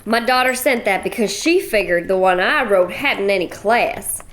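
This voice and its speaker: A woman's voice: mid-range voice, lively intonation, normal speed, fairly bright tone, slight background noise, lightly acted. A woman voicing a bossy, blunt, middle-aged widow from a cattle ranch, speaking. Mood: bossy, folksy. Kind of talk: radio play acting.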